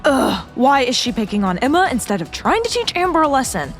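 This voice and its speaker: An actress playing a sharp-tongued young woman friend who mocks others in a scornful, gossipy way in an audio drama. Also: high-pitched, very animated, brisk, faint music behind.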